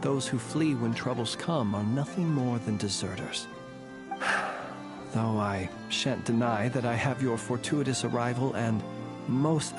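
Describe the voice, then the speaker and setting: A male actor voices a stoic, courtly warrior from a video game. His voice sits at a medium male pitch, and he speaks in measured, old-fashioned, ornate sentences with a dry wit. Music plays softly behind him. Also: natural voice. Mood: solemn, resolute. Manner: formal, archaic, eloquent, measured. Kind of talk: scripted video game character dialogue. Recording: game audio with background music.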